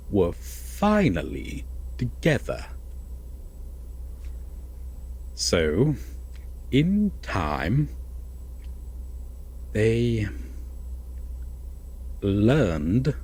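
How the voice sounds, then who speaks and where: Obsessive lovesick prince played by a male actor, low breathy voice, very slow halting bedtime-story delivery for audio roleplay. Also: huge pitch swings, exaggerated voice, poor audio. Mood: quietly satisfied. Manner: halting, hushed, wistful, with heavy pauses. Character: obsessive lovesick yandere prince, a captor telling a bedtime fairytale.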